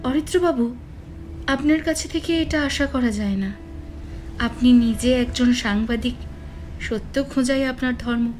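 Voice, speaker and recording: Woman playing a high-voiced sceptical researcher. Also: a little slow, long pauses, darkish tone, slight background noise, natural voice, low-quality recording. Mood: reproachful. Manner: earnest, challenging, articulate. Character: sceptical female researcher, rational, bold, truth-seeking. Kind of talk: horror audio drama acting.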